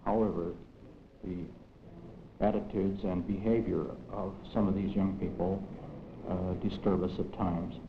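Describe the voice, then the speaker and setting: Low, monotone man in echoey room. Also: measured pace, pauses often, over loud music. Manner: hesitant, formal wording. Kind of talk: formal, measured statement. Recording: echoey, dull, noisy old film sound.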